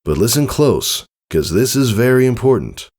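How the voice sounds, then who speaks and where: A man's voice: medium pitch, big pitch swings, normal speed, darkish tone, voice pushed up. A man voicing a confident, fatherly pegasus stallion in a storybook reading, folksy and instructive. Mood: earnest, instructive. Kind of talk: character dialogue in audiobook reading.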